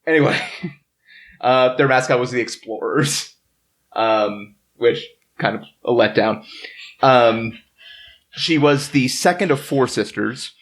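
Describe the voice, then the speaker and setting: Male host, medium-pitched, conversational and informative, wry sense of humour, reading loosely from notes. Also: slow pace, long pauses. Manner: joking, wry asides. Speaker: podcast host. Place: in-person group around a table.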